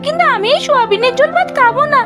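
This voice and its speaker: A woman's voice: extremely high pitch, animated, racing. A woman voicing a little girl in a doll cartoon, whining and pleading for food. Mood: whiny. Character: little girl Priya, whiny and hungry. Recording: with background music.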